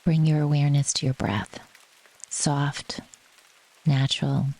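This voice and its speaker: A woman guiding a relaxation meditation in a gentle, soothing manner, speaking in short phrases with long pauses. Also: deep voice, gravelly, rough recording. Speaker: yoga nidra guide. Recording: steady rain noise behind the voice. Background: rain ambience.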